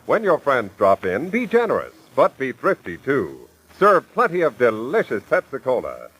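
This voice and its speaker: Animated old-radio announcer man. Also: medium pitch, slightly rough, poor audio. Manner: polished salesman delivery.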